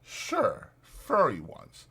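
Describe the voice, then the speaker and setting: A man voicing a sharp, irritable but protective ranch drifter, brisk, insistent and colloquial, in an audiobook. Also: mid-range voice, huge pitch swings, husky, voice pushed up, heavily acted. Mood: drowsy, irritable.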